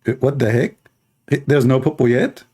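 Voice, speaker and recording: Mellow-voiced man mocking on a livestream. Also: mid-range voice, fairly even intonation, normal speed, slightly rough. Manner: mocking, rambling, full of fillers. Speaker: livestream debate host. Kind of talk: sarcastic livestream talk.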